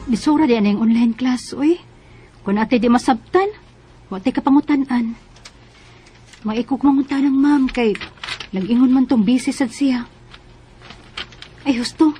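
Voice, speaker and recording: A woman's voice: on the high side, mellow, husky. A woman playing an earnest, respectful young student housemaid in a radio drama, with a Bisaya Filipino accent. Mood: fretful. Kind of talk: Filipino radio drama acting with some first-person narration.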